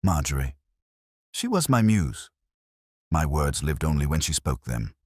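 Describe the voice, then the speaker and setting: A lovesick male playwright, played by a male narrator pours out poetic devotion in a detective audiobook. The voice is very deep and moves about in pitch. It is melodramatic and sounds dry and close. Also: sing-song, a little slow, darkish tone, slightly rough, dry recording, exaggerated voice. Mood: anguished, devoted.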